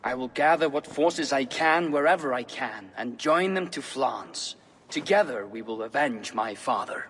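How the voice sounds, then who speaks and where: A man's voice: medium pitch, expressive, a little slow, a bit bright. A man playing a proud, hot-headed young medieval king in a video game, earnest and dramatic. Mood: vengeful resolve. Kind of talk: video game cutscene acting. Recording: long reverb, faint noise.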